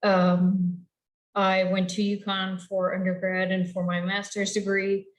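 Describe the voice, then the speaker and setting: A young woman on a video call in an echoey room, introducing herself casually and haltingly as a student panelist. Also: mid-range voice, monotone. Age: young adult. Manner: halting, weary.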